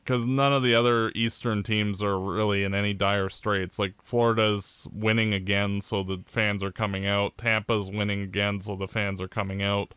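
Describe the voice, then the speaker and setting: A Canadian man co-hosting a podcast, giving blunt opinions in casual sports chat, with halting restarts. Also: lowish voice, level tone, a bit bright, clear, resonant tone, dry recording. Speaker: podcast co-host.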